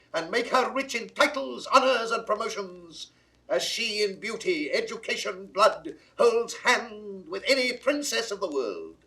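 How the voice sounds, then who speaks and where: An actor playing an imperious king in a 1960s classical Shakespeare audio drama, declaiming in a husky, theatrical voice. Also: poor audio. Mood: grand, persuasive. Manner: declamatory, theatrical, swinging from hushed to booming. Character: imperious, grandiose king, bargaining and commanding.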